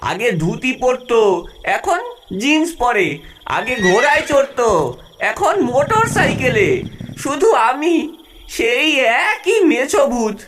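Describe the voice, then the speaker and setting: A woman voicing a centuries-old fish-ghost alien secret agent in a cartoon, excitable and soft-hearted, dramatically exclaiming and pleading. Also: high-pitched, slow, pauses often, noisy background, exaggerated voice. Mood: reporting, wistful. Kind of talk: animated cartoon voice acting.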